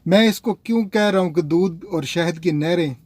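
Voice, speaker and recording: Chatty man vlogging, slightly high voice. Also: normal speed, a little mellow, dry recording. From Pakistan. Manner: chatty, rambling, addresses viewers as nazreen. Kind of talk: casual vlog talk to viewers.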